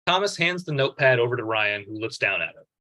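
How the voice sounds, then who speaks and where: A man's voice: medium pitch, expressive, fast talker, bright, forceful tone, poor audio. A man reading out a script's stage directions as scripted narration at a table read.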